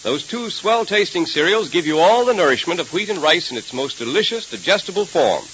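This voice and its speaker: A hissy, muffled 1930s radio recording of an American male announcer. His delivery is emphatic and theatrical, fairly high and bright, with lively swings in pitch. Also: brisk. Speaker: announcer and narrator.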